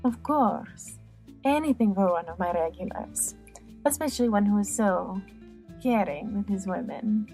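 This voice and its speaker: A woman's voice: medium pitch, a little slow, darkish tone, faint music behind, close to an everyday voice. A woman playing a seductive, knowing courtesan in an audiobook drama, with a sultry, teasing manner. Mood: sultry welcome.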